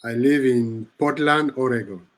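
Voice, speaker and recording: Slow-speaking man from Cameroon. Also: medium pitch, a crowd in the background. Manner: hesitant, many fillers, laughs between phrases. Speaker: interview guest. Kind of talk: casual video call interview. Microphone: video call.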